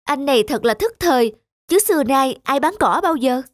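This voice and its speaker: A woman's voice: high-pitched, animated, moderate pace, dry recording, studio quality. A woman voicing a chatty village farm woman who frets, in a cartoon folk tale. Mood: relieved. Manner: plaintive, chatty. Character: chatty village women farmers fretting over cattle. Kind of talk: cartoon dubbing. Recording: music under the dialogue.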